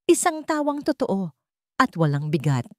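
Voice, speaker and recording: A woman's voice: mid-range voice, sing-song, measured pace, a little mellow, no echo, clean studio sound. A woman narrating a scripted romance story in a dramatic storytelling style.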